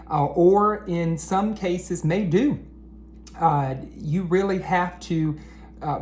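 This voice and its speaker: A man reading aloud to children like an educator, with an expressive, encouraging manner that speaks straight to them. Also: fairly high pitch. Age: adult. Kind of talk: animated children's read-aloud. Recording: roomy echo, faint background noise.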